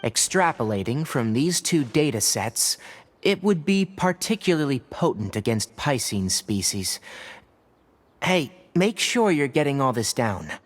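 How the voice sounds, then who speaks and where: An actor playing a dry, sardonic but principled forest watcher and scholar in a video game, speaking precisely. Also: male voice, mid-range voice, very animated, unhurried, slight background noise. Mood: hushed, focused. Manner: precise, wry, scholarly delivery. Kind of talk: scripted video game voice acting.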